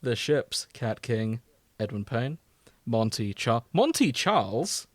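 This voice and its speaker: Male podcast host, medium pitch, conversational, close and dry sound with no room. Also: very animated, slow, dry recording. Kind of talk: casual chat, reading out fan fiction tags.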